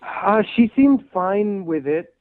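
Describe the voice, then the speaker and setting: A man's voice: high voice, animated, slow pace. Tinny phone line, nervous male caller. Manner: nervous, hesitant, apologetic. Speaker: caller on a radio show. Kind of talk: casual, halting storytelling. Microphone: phone call.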